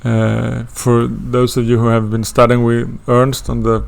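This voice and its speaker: A man's voice: medium pitch, completely flat delivery, unhurried, gravelly. A man from Sweden with a Swedish accent, introducing an astrology course in a hesitant way, with many fillers and restarts. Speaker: astrology teacher.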